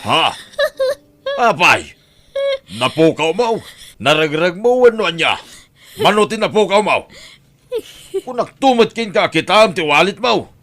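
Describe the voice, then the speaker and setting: A man's voice: high voice, very animated, measured pace, long pauses, a bit bright, heavily acted. A man with an Ilocano accent voicing the stern husband of a moneylender in an audio drama, blunt and questioning. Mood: alarmed.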